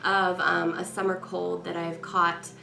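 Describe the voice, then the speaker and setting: A woman's voice: lowish voice, level tone, unhurried, vocal fry, sharp tone, a little hiss or hum. A woman vlogger giving a chatty, rambling product review while stuffy and congested from a cold. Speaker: beauty vlogger. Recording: echoey room with faint music.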